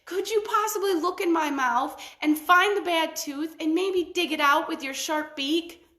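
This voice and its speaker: A woman voicing a sly female crocodile with a toothache, begging in a whiny, drawn-out voice. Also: extremely high pitch, bright, forceful tone, reverberant, voice pushed up, heavily acted. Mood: pleading.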